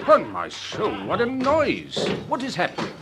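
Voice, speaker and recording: A suave old gentleman officer, with an upper-class English accent. His speech is formal and flattering, and his pitch rises and falls extravagantly, in period radio drama style. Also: a little slow, noisy background, full character voice. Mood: fussy, exclaiming. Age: older. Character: suave, courteous elderly English gentleman army major.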